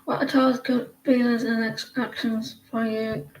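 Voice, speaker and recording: A woman's voice: a little high, level tone, moderate pace, a little hiss or hum, rough recording. Chatty northeast English woman reading tarot. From northeast England. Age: 40s. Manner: rambling, chatty, many fillers and restarts. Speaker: tarot reader. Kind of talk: casual live tarot reading.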